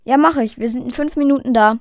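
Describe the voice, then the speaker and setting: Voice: high-pitched, fast, no room sound, studio quality, faint music behind, natural voice. A performer playing a friendly, curious boy in a children's radio play. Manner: curious, questioning.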